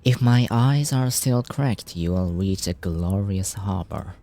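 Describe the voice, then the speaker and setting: Slow, deep-voiced man. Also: dark tone, soft background music. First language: Japanese. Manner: reads game text aloud and translates it, swears casually. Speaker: gaming streamer. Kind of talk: casual game playthrough commentary.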